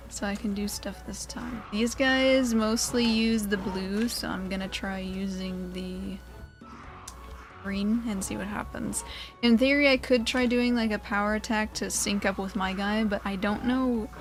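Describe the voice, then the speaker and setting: A woman's voice: mid-range voice, steady tone, unhurried. A woman giving casual, playful video game commentary with goofy word choices. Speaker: video game let's player. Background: game music.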